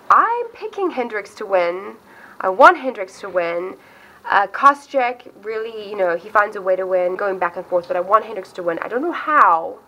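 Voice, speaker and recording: A chatty, upbeat woman from South Africa whose accent drifts between South African and American. Her voice is bright and forward, and she talks casually to camera, often breaking off her own sentences. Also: medium pitch, poor audio. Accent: mixed South African and American. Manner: chatty, upbeat, self-interrupting. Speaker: MMA video host. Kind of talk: casual fight predictions to camera.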